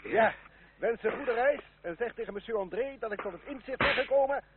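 Tinny old radio play, gallant marquis, imperious, high voice, urgent commands. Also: male voice, animated, brisk, very noisy. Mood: gallant, commanding. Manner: imperious, exclamatory. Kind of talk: vintage radio drama acting.

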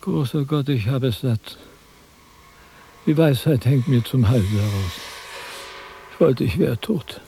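An actor playing a vain, fearful wandering philosopher in a radio play, plaintive and theatrical. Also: male voice, medium pitch, slow pace, long pauses, dark tone, a little hiss or hum. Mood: despairing, self-pitying.

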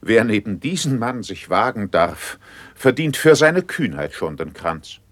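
An actor playing an eloquent, self-assured courtier in a classical verse radio drama, with measured, ornate declamation. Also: male voice, on the low side, big pitch swings, no echo. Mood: admiring, eloquent.

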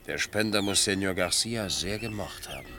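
A man's voice: sits low, normal speed, over music. An actor playing an earnest, troubled man with a wry, sceptical streak, questioning others in a German radio play. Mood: probing. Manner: earnest, questioning, dryly ironic.

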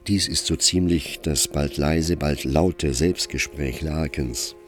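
A man narrating a literary radio play from a script, telling the story in a measured way with expressive emphasis. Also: rumbling low, soft background music.

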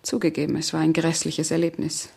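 An actress playing a cold, scheming murderess, controlled and imperious, in a 1970s German radio crime drama. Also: female voice, low-pitched, monotone, a little fast, creaky, darkish tone, breathy edge, full character voice. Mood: cold, calculating. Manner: controlled, imperious, coolly persuasive.

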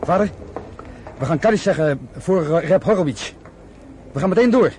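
An actor in a radio play voicing a lively, rebellious young brother, urgent and persuasive. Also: male voice, on the high side, normal speed, natural voice. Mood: defiant, impatient. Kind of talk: radio drama acting. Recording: slightly noisy old radio recording.

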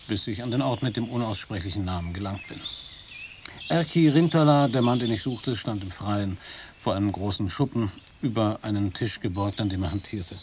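An actor playing an eager, wry American roving reporter in a German radio play. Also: male voice, on the low side, a little mellow, lightly acted. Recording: clearly noisy outdoor-style ambience.

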